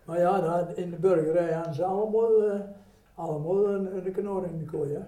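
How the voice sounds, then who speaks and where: An elderly man with a Brabant Dutch accent reminisces in a very soft, dark-toned voice, pitched fairly high for a man. He speaks haltingly and repeats himself. The room around him echoes. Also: fairly even intonation. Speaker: eyewitness recalling wartime childhood. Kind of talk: informal reminiscence.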